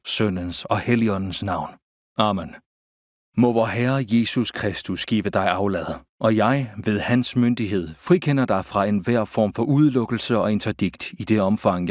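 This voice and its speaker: A man voicing a grave priest in a video game, reciting blessings in a hushed, ritual way. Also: fairly low pitch, dry recording, clean studio sound, natural voice. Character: solemn male battlefield priest blessing and absolving soldiers. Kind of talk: video game character voicelines.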